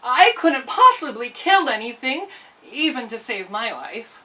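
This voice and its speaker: A woman's voice: fairly high pitch, very animated, a little slow, pushed and bright, distant mic, pitched above the performer's own voice, heavily acted. A woman playing an earnest, timid but indignant little girl in a storybook. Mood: anxious.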